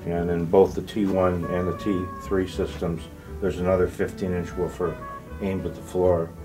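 A man in his sixties explaining products off the cuff as an exhibitor, halting with frequent pauses. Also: sits low, little pitch movement, music in the background. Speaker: loudspeaker exhibitor. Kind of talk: unscripted product walk-through in an interview. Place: carpeted hotel room at an audio show.

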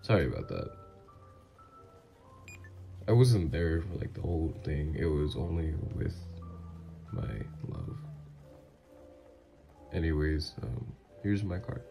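A man's voice: rumbling low, sing-song, slow, creaky, pauses often, over music, exaggerated voice. A man voicing a flirtatious, easily flustered, doting mafia boss lover in a slightly nasal, hesitant ASMR roleplay. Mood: embarrassed, flustered.

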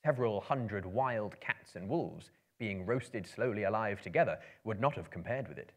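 A man in his thirties reads a fantasy story aloud as a live performance. His voice sits fairly low, and he phrases theatrically, with measured pauses and weighted emphasis. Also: expressive. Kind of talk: dramatic book reading to a live audience. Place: room lined with books, indoors.

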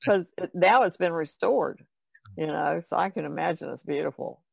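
A woman's voice: lowish voice, moderate pace, creaky, darkish tone, husky, no room sound. A woman co-hosting a podcast, chatting casually in a plain-spoken, questioning way. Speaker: podcast co-host. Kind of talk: casual conversational discussion.

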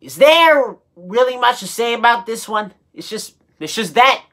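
A woman hosting a comedy show with casual, sarcastic reaction commentary, exasperated and halting as she repeats herself. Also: fairly high pitch, expressive, fairly bright tone. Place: small indoor room full of collectibles.

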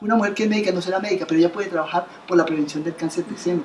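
A woman's voice: on the low side, steady tone, very fast, darkish tone. A woman in her fifties from Colombia, telling her story as an interview guest in earnest conversational talk with many restarts. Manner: earnest, many restarts and repeated words, gestures while speaking. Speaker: interview guest, association founder. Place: bright living room by a window, indoors. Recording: roomy living room sound.

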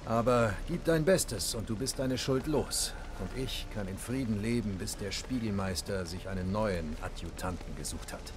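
A man's voice: on the low side, moderate pace, hardly any pauses, music in the background, close to an everyday voice. An actor playing a jaded, sardonic, cruel nobleman bandit in video game dialogue, with a wry, dismissive drawl. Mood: mocking. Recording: rain and outdoor ambience behind.

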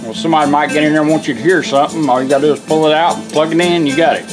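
Man, fairly high voice, folksy, casual product demo, workshop, some music behind. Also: steady tone, racing. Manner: folksy, plainspoken. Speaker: product demonstrator, radio restorer. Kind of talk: casual hands-on product demonstration. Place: indoor workshop bench.

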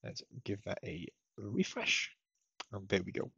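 A man with a fairly low, husky voice walks through software setup as a tutorial presenter. He explains each step methodically. The voice-over sounds slightly muffled. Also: very animated, a little slow, no room sound. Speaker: technical support tutorial presenter.

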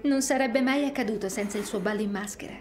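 Woman playing a high-voiced, hardworking young heroine. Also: fast, a little mellow, close to an everyday voice. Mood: sincere. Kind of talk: animated video game character acting.

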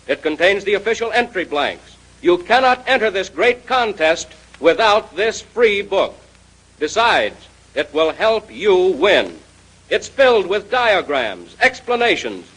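High-pitched, animated American radio announcer man. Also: unhurried, slightly rough, poor audio. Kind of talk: 1930s radio announcing, scripted.

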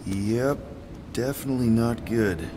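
Man playing a slow-talking, wry space outlaw, casual delivery. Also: medium pitch, music in the background, natural voice. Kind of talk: video game cutscene acting.

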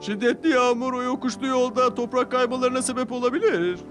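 A man voicing an alarmed helicopter in a children's cartoon, calling out warnings in a loud, urgent, cautioning way. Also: extremely high pitch, quick pace, music in the background, pitched above the performer's own voice. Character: alarmed male helicopter shouting storm warnings.

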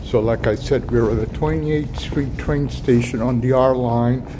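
A man narrating a casual handheld vlog, speaking to viewers in short, offhand remarks. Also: measured pace. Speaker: vlogger filming a walking tour. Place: underground subway station platform. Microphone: handheld phone, held by speaker behind camera. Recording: noisy subway platform, train rumble. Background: trains and station rumble.

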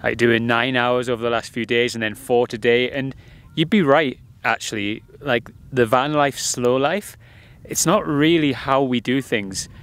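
A young man holds the camera at arm's length outdoors beside a van and chats to his viewers. His voice sits at an ordinary middle pitch, and he explains things in a relaxed, conversational way. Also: measured pace. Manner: chatty, explanatory. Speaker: van life vlogger. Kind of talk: casual travel vlog to camera. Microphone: handheld camera at arm's length.

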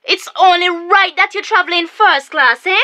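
A woman's voice: very high voice, normal speed, pushed and bright. An actress playing a pushy, wheedling, impatient girlfriend with a Caribbean accent in a radio comedy drama.

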